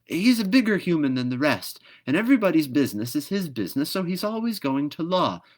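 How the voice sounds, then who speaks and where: Storybook swallow, played by a man: high, irritable, brisk, insistent know-it-all bird. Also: big pitch swings. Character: bossy irritable swallow, self-styled legal expert. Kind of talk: storybook read-aloud character voice.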